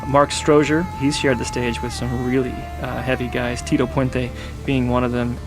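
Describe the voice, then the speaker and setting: A man from Missouri, a bandleader and guitarist, answering interview questions casually with many pauses and uhs. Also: medium pitch, flat delivery, low-quality recording. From Missouri, United States. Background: band music under the voice.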